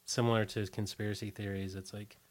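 Loose, halting man chatting casually. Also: fairly low pitch, little pitch movement. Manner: loose, halting, often trailing off. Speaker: interview guest, musician. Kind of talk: casual chat, recollections.

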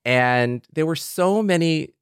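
A man's voice: a little high, animated, takes it slowly, smooth, no echo, clean studio sound. An American man from Detroit co-hosting a podcast in a casual, conversational style. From Detroit, United States. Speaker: podcast co-host.

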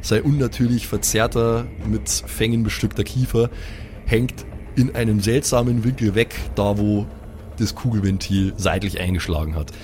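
A man's voice: on the low side, moderate pace, a little mellow, slight background noise. A man acting as game master, improvising vivid narration with colloquial asides.

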